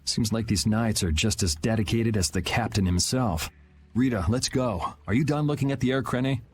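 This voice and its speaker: Man playing a low-voiced, dry young adventurer. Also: moderate pace, soft background music, lightly acted. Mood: impatient. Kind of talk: video game acting.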